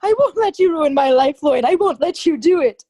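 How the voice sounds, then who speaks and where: A desperate, well-to-do wife acted by a woman in a radio thriller. Her voice sits high, and she pleads with guarded defiance as someone backed into a corner. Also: lively intonation, normal speed, warm tone. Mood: desperate, defiant. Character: cornered, desperate young wealthy wife hiding a past. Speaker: actor. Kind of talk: old-time radio crime drama acting.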